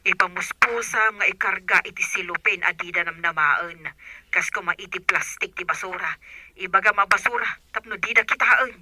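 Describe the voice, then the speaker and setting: An actress with an Ilocano accent playing a calm, shrewd, protective aunt in a radio drama, giving careful instructions. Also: female voice, mid-range voice, moderate pace, close to an everyday voice. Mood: cautioning. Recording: noisy telephone-call scene with clicks.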